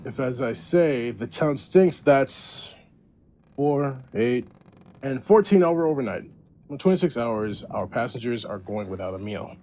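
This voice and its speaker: A man playing a blunt, precise first mate, crisp and matter-of-fact, in an audio drama. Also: medium pitch, expressive, unhurried, darkish tone, slight background noise, lightly acted.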